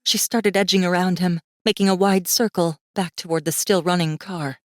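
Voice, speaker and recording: Low-voiced woman narrating suspenseful fantasy. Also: steady tone, moderate pace, dry recording, clean studio sound. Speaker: audiobook narrator. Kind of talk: dramatic scripted fantasy narration.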